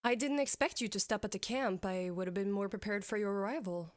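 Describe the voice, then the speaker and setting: A woman's voice: medium pitch, brisk, no echo, clean studio sound, lightly acted. A woman playing a dutiful military commander in a fantasy audio story, speaking formally and directly to her king. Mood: frank, respectful. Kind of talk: audio fiction acting.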